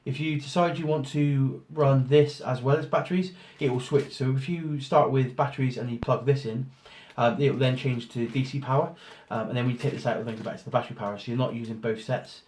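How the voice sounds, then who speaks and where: A man with a hoarse voice from a cold, casually talking through an unboxing with lots of ums and restarts. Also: mid-range voice, steady tone, brisk, few pauses, a little mellow. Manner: rambling, many ums and restarts. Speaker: photographer doing an unboxing. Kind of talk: casual product walkthrough. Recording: roomy echo, faint noise.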